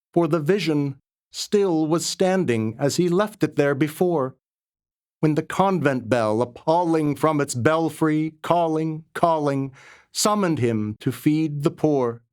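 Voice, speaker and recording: A man with a slightly high-pitched voice reads verse aloud at a very slow pace. His delivery is dramatic and reverent, each phrase set apart with deliberate pauses. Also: expressive, darkish tone, clean tone. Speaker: poetry reader. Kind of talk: dramatic, measured poetry recitation.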